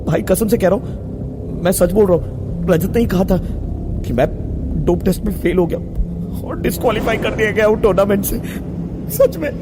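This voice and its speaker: Man acting a distressed young athlete, high-pitched. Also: noisy background, close to an everyday voice. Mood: distressed, defensive. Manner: halting, stammering, earnest. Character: distressed, fearful young male athlete, confused and defensive.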